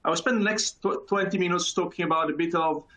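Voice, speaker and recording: A man's voice: high-pitched, sharp tone. A man in his thirties with a Neapolitan Italian accent, giving a conference talk over a video call with many fillers and restarts. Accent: Italian, from Naples. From Italy. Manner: halting, many fillers and repeated words. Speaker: conference speaker. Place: small room indoors. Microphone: video call webcam.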